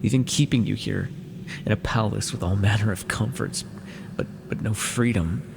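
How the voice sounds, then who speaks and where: A man playing an ancient, brooding immortal villain in an intimate fantasy audio roleplay, with a halting, dramatic, gravelly delivery. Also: fairly low pitch, background noise. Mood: anguished. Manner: slow, halting, dramatic delivery with sighs and pauses. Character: ancient immortal villain, brooding, tormented, tender toward beloved mortal.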